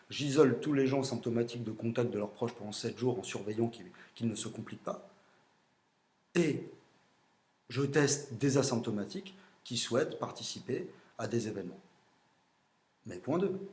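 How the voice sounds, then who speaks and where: A man's voice: mid-range voice, a little mellow, echoey room. A man, a general practitioner with a French accent, explaining his medical views in a blunt, opinionated monologue with frequent fillers.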